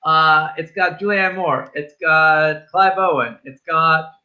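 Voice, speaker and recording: A man's voice: fairly high pitch, slow, sharp tone, highs cut off. A man hosting a casual movie review chat, speaking informally and jokily with the odd swear word. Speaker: film review show host.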